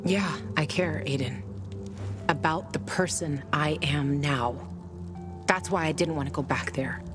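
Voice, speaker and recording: A woman playing a tough, guarded fighter in her thirties in a video game, speaking in a hushed, wry and bitter way. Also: sits low, a little slow, music in the background. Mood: wistful, guarded. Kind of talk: intimate cinematic game dialogue.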